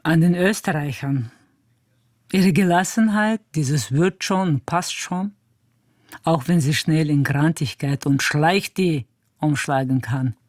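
A woman speaks in articulate, prepared answers at a measured step. Her voice sits at a medium-low pitch and is recorded very dry, with no room around it. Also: darkish tone, no echo.

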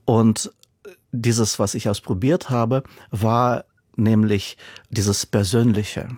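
A husky-voiced man who speaks German as a second language, answering interview questions about his writing. He talks thoughtfully and stacks up repeated words for emphasis. The recording is close and dry. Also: lowish voice, fairly even intonation, takes it slowly, a little mellow, no echo. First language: not German. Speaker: author and interviewee. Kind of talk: radio interview.

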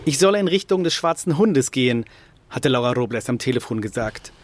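A man narrating a scripted radio feature in a calm, descriptive manner. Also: mid-range voice, fairly quick, background noise. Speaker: radio reporter.

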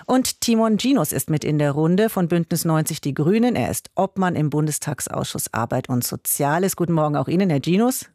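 A woman hosting a radio talk show, reading her introduction in a clear, measured way. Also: low-pitched, lively intonation, a little mellow, no echo, clean studio sound. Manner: clear, measured, reads numbers slowly. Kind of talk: scripted radio moderation. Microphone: studio microphone.

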